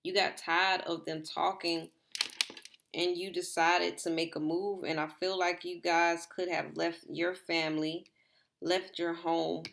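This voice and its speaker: A slightly hoarse woman talks her viewers through a tarot spread in a loose, rambling way, saying "like" again and again. Her voice sits low and carries a gravelly fry. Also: flat delivery, a little slow, a bit bright, a little hiss or hum. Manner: rambling, repeats herself, filler "like". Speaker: tarot reader. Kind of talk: casual tarot reading to viewers.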